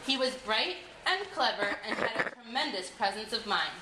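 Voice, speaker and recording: A woman's voice: a little high, expressive, normal speed. A woman narrating a folktale from a script, reading it aloud as a storyteller. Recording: echoey room with clear background noise.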